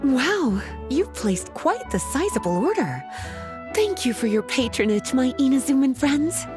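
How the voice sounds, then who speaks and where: A woman's voice: sits high, very animated, a little slow, music in the background. A woman voicing an elegant, teasing grown-up sorceress in a video game, coy, honeyed and playful. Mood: gracious. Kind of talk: English video game voice acting.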